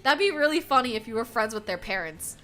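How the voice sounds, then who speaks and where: A woman's voice: on the high side, fast talker. An American woman streamer, young, chatting casually with her chat while gaming, laughing often. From United States. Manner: giggly, animated, frequent bursts of laughter. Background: faint game music.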